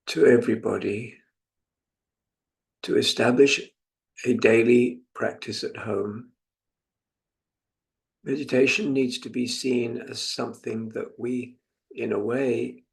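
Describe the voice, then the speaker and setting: A British man in his seventies from England leading a guided meditation as a teacher, speaking in a reflective, gently instructive manner with pauses. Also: mid-range voice, vocal fry. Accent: British English. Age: 70s.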